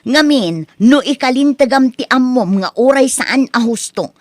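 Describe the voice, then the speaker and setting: A woman's voice: sits high, expressive, measured pace, no echo. A woman voicing a firm wife who lectures her husband in a radio drama. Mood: lecturing.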